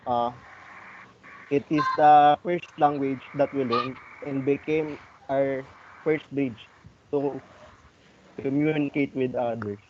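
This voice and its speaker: A man with a Filipino accent in a student discussion, speaking haltingly and repeating words as he searches for them. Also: mid-range voice, fairly even intonation. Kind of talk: student focus group discussion. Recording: muffled recording with faint noise.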